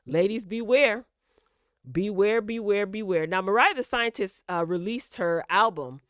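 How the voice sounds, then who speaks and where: A woman with a smooth, clear voice and a mid-range pitch hosts casual gossip commentary. She talks straight to her listeners, emphatic and opinionated, repeating words to drive a point home. The sound is dry and close, with no room echo. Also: a little slow. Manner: emphatic, direct address to listeners with repetition for emphasis. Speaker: host of a celebrity gossip commentary channel.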